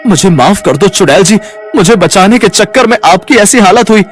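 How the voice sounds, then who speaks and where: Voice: mid-range voice, animated, moderate pace, soft background music, natural voice. A performer voicing a small, sad male cartoon parrot, meek and grieving, with plaintive, respectful pleading. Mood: grateful, apologetic. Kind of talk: Hindi cartoon character voice.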